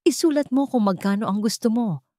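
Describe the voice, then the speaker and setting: A woman's voice: a little high, brisk, dark tone, dry recording, pitched above the performer's own voice, natural voice. A woman voicing a cold, contemptuous rich old man in a radio drama, with a dry, commanding, sarcastic delivery. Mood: cold contempt. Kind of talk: Tagalog radio drama storytelling.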